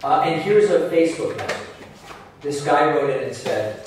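A man's voice: a little high, lively intonation, moderate pace. Echoey, distant male political talk host. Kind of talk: political commentary answering viewer messages. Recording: echoey far playback, kitchen noise.

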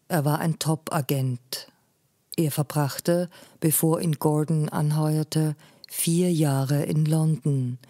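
A woman narrating a literary story in a measured way, phrase by phrase. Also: low voice, darkish tone, no echo, studio quality. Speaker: audiobook narrator.

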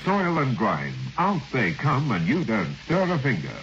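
A man's voice: medium pitch, huge pitch swings, a little slow, fairly bright tone. A man announcing a vintage radio commercial in an emphatic, clipped selling manner. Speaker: advert announcer. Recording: muffled, noisy old radio recording.

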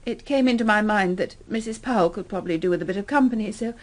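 An actress in a radio drama playing a shaken, distraught wife who speaks haltingly, stammering and apologetic. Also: female voice, medium pitch, natural voice. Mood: distressed. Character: shaken, distraught wife recounting a violent death.

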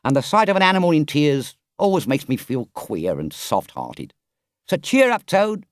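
Man doing a firm, soft-hearted storybook train driver; higher than his own voice, lively, dry sound. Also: normal speed, no room sound. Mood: stern. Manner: earnest, urgent. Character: gruff but kind-hearted male engine driver.

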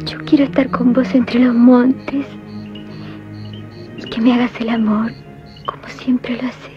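A woman's voice: on the high side, little pitch movement, measured pace, close to an everyday voice. An actress playing a devoted young woman in love, pleading breathily in a 1970s film. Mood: yearning. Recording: dull vintage film soundtrack, faint music.

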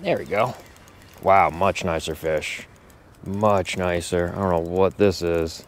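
A man filming himself fishing outdoors, chatting casually to the camera and muttering to himself with sudden excited bursts. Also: deep voice, takes it slowly. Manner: relaxed, mutters to himself, excited bursts when a fish bites. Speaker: angler filming his fishing trip. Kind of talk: casual self-narrated fishing vlog. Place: outdoors by a brook from a small boat.

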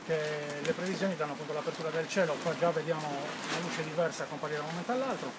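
A man's voice: a little high, very fast, heavy background noise. A man in his fifties, an event organizer, fluently listing the day's activities in an interview in an outdoor tent. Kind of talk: interview answers.

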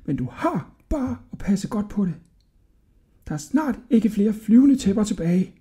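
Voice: medium pitch, big pitch swings, voice pushed up, lightly acted. A storybook narrator voicing an old man who sells carpets, cautioning and secretive in an admonishing way. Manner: hushed, admonishing. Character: gruff-tempered old carpet seller, secretive, fond, cautioning.

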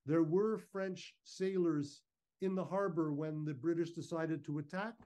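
Mellow, higher-voiced man. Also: steady tone, unhurried. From Canada. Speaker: guest speaker, historical reenactor. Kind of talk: informal slide presentation. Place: indoors on a video call. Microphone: video call microphone.

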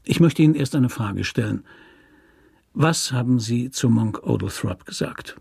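Man as a calm, husky, shrewd gentleman questioner. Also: medium pitch, measured pace, no echo, studio quality, heavily acted. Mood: cool.

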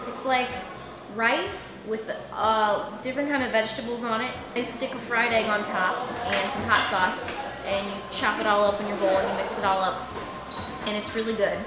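A woman in her twenties, an exchange student, giving animated, wry interview answers. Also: medium pitch, normal speed, reverberant, over music.

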